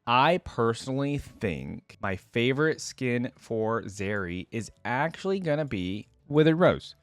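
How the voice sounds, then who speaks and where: A man's voice: mid-range voice, expressive, a little slow, no echo. A man hosting a reaction video, commenting casually and thinking aloud in an informal way as he goes.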